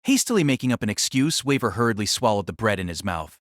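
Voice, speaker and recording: A man's voice: fairly low pitch, sing-song, fast, no echo, clean studio sound. A man narrating a light novel in a dramatic, scripted storytelling style.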